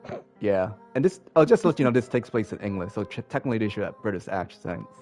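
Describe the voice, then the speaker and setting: A man's voice: on the low side, expressive, fast talker, a little mellow, faint music behind. A man casually recapping a visual novel for viewers, talking in a laid-back, rambling way with lots of fillers. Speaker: let's play host. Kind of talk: casual game recap commentary.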